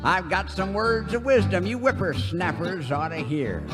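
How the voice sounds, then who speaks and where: A man's voice: high-pitched, animated, normal speed, in a large hall. A man playing a cranky, boastful old cowboy sidekick with a folksy American drawl in a western radio comedy. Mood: preachy, folksy. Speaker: comic singing sidekick. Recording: music behind the voice.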